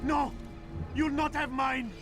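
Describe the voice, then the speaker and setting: Frantic, high-pitched terrified captive. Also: male voice, fairly even intonation, slow pace, music in the background. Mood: terrified, defiant. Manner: frantic shouting, pleading and accusing. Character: terrified, defiant male captive hospital patient. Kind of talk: dramatic video game acting.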